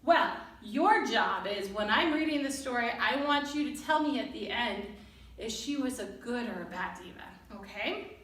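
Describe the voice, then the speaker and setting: Animated high-voiced woman storyteller. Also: slight background noise. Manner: expressive, deliberate. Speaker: children's storyteller. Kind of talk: animated read-aloud to children, with questions. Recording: roomy echo, distant mic.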